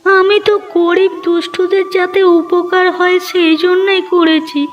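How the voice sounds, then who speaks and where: A woman's voice: very high-pitched, little pitch movement, unhurried, slight background noise. A woman voicing a meek, hurt young granddaughter in a cartoon story, plaintive and earnest, in dull sound with music. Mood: hurt, pleading.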